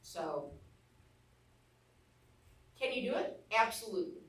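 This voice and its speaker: A woman's voice: mid-range voice, animated, slow, a bit bright. Blunt Arkansas woman teaching a class. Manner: blunt, candid storyteller. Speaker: real estate trainer. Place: training room. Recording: echoey room, mic far off.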